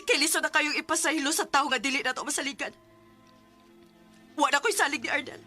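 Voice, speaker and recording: A high, piercing young Cebuano woman's voice a betrayed younger sister in a radio drama. She is bitter and openly emotional, with melodramatic delivery over faint music. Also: fairly quick, bright, forceful tone. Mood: bitter, hurt. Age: young adult. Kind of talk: Bisaya radio drama acting.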